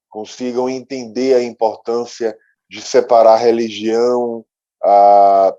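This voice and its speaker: Male interview host, Brazilian accent. Dark, relaxed tone, conversational delivery, muffled low-fidelity sound. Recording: muffled, dull lo-fi audio.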